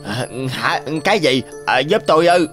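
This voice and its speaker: A man's voice: on the high side, animated, normal speed, fairly bright tone. A man voicing a greedy, cunning male tanuki in a cartoon, who turns whiny when caught. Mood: suspicious. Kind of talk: cartoon voice acting. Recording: faint music behind.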